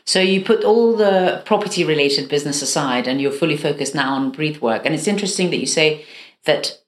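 A woman hosting an interview, asking questions in a measured, friendly way. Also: low-pitched, reverberant. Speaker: host.

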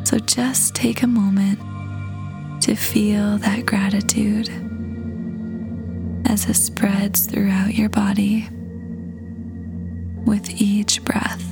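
Very slow, soft-voiced woman guiding meditation. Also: medium pitch. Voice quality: soft, breathy, half-whispered. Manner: gentle, calm, unhurried with long pauses. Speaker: meditation guide. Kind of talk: guided meditation, slow soothing narration. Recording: voice over prominent background music. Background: meditation music.

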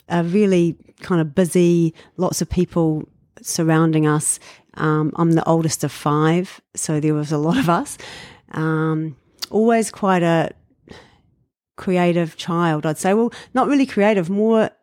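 A New Zealand woman in her fifties, telling her life story in a relaxed interview, reflective with frequent fillers and light laughs. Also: deep voice, dry recording, clean studio sound. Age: 50s. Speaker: guest. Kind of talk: casual interview chat.

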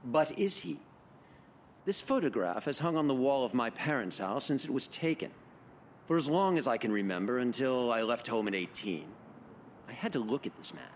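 Earnest, expressive middle-aged male client, played by an actor. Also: medium pitch, moderate pace, a little hiss or hum. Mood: earnest, insistent. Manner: formal, courteous, intense. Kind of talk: radio drama dialogue.

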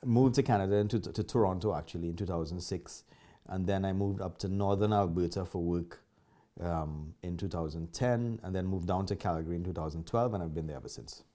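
A man's voice: low-pitched, a little fast, dark tone. A man in his fifties from Trinidad, with a Trinidadian accent, chatting politely as a guest in an interview. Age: 50s. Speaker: podcast guest, martial artist. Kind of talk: casual interview chat.

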